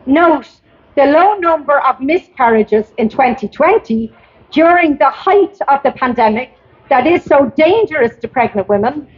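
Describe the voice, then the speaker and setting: An Irish woman reading a prepared campaign speech aloud at a public protest, deliberate and emphatic, sometimes stumbling over her script. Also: high-pitched, expressive. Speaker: campaigner giving a public address. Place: outdoors at a public rally. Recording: dull, lo-fi speech recording.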